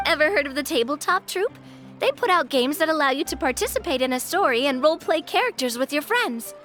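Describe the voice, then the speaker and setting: A woman voicing a bubbly, eager young woman in a video game, excitable and playful with emphatic delivery. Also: sits high, a little fast. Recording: music bed behind the voice.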